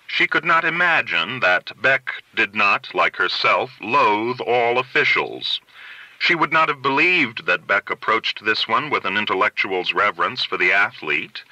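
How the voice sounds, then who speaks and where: A man narrates literary fiction with measured, articulate delivery. His voice sits fairly low yet has a very sharp, bright edge, and the sound is dry and close. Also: no room sound, low-quality recording. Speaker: audiobook narrator.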